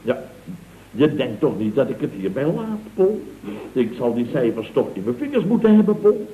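A man's voice: medium pitch, very animated, moderate pace, warm tone, natural voice. An actor playing a scheming, mock-innocent contractor boss in a 1960s radio comedy, with a Netherlands Dutch accent, stammering out repeated phrases as he insinuates. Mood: blustering pressure. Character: wheedling, scheming male building contractor boss. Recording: muffled old radio recording, roomy sound.

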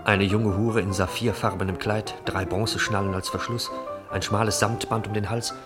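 Theatrical male narrator over loud music. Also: lowish voice, flat delivery, normal speed. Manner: theatrical, swinging from hushed to declaiming. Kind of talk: dramatic scripted narration of a radio play.

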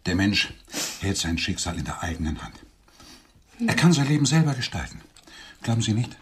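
Deep, swooping middle-aged suitor. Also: male voice, very animated, husky, exaggerated voice. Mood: pompous, eager. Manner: florid, theatrical phrasing with pauses. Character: lonely middle-aged businessman, grandiloquent, self-pitying suitor on a blind date. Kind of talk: radio drama acting.

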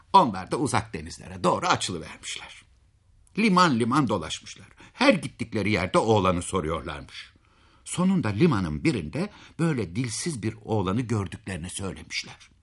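A man's voice: on the low side, a bit bright, slightly rough. A man telling a fairy tale expressively, in a dramatic, folksy way with interjections to the listener. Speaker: storyteller.

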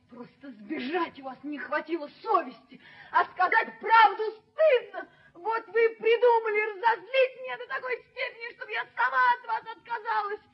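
A very high, theatrical young woman's voice plays a proud, hot-tempered fiancée in a 1970s radio play. She is imperious and easily wounded. The recording is muffled and lo-fi. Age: young adult. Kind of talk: Soviet radio play acting.

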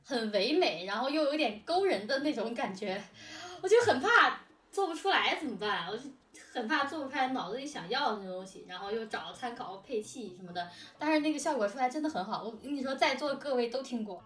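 A woman's voice: fairly high pitch, lively intonation, brisk, fairly bright tone. A woman interviewing in a casual, informal chat style, a bit giggly in manner. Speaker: interviewer, drama producer. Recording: roomy, distant mic, faint music behind. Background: music.

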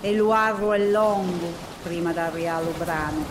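Woman, Gallurese accent, lowish voice, slow and solemn, distant and echoey. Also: noisy background. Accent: Gallurese Sardinian.

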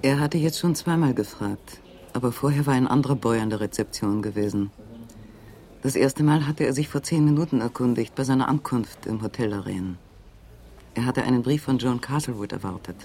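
A man narrating a crime radio play in a calm, measured way. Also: medium pitch, slight background noise. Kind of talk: scripted literary narration.

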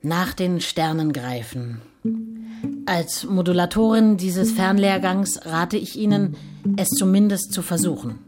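An actress in a radio drama playing a solemn, poetic moderator of a fanciful distance course. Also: female voice, on the low side, measured pace, natural voice. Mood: solemn, instructive. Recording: loud plucked guitar music underneath. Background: plucked guitar music.

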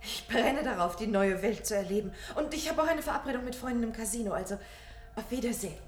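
Actress as polite eager woman, radio drama, lively intonation, echoey, slightly noisy recording. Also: a little high, fast talker, natural voice. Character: eager, polite woman keen on the new world. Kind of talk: German science-fiction radio play acting.

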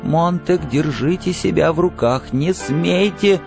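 Male narrator as urgent old man, raised pitch, pleading, commanding, anxious, audiobook drama, faint music behind. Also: measured pace, vocal fry. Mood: alarmed warning.

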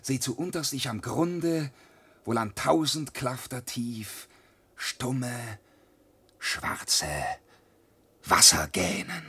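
An actor playing a young boastful peasant son who spins wild tales in a classic radio play, swinging from loud to hushed. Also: male voice, a little high, husky, full character voice. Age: young adult.

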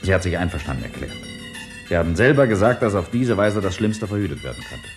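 A man's voice: low voice, sing-song, quick pace, faint music behind. An actor playing a determined, self-sacrificing male scientist, speaking firmly and earnestly in a 1950s radio drama. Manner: firm, resolute, earnest.

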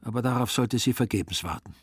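Husky male literary narrator. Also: medium pitch, fast talker, dry recording, studio quality. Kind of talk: scripted literary radio drama narration.

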